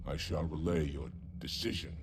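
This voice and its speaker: A man's voice: rumbling low, moderate pace, gravelly, very noisy. A man playing a stern, proud alien warrior commander in a video game cinematic, speaking formally and with restraint. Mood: restrained protest. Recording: loud music behind.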